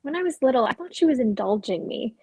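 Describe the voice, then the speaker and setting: Casting: wry, tired suburban young woman female actor, slightly raspy higher voice, understated stage drama delivery. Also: lively intonation, fast, mellow. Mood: weary, wry. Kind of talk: dramatic script reading.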